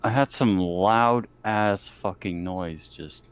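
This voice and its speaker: A man's voice: lowish voice, takes it slowly, smooth. A man talking casually and profanely as a player in an online tabletop game, heard over computer voice chat. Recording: faint noise on online voice chat.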